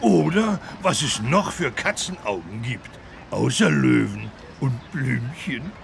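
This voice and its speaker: A man voicing a big, good-natured, childlike talking elephant with a gravelly voice in a children's game. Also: medium pitch, very animated, takes it slowly, slight background noise, exaggerated voice. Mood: jolly, friendly. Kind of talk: children's educational game voice acting.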